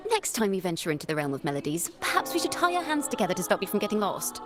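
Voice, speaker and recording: A woman playing a thoughtful, earnest young traveling companion in a video game, speaking in a lyrical, measured way. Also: mid-range voice, sing-song, over music, close to an everyday voice. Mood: thoughtful, gently guiding. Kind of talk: scripted video game dialogue.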